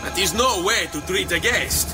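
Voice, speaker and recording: An actor playing a confident, dryly witty assassin with an Italian accent in a video game. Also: male voice, high-pitched, moderate pace, music in the background, close to an everyday voice, rough recording. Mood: taunting. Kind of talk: video game cutscene acting.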